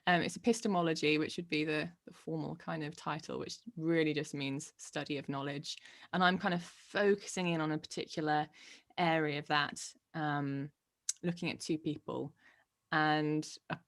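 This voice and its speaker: A woman's voice: sits low, fairly even intonation, normal speed. A young woman from Hungary, a PhD student guest in a casual interview, laughing often and poking fun at herself. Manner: laughs often, chatty and self-deprecating.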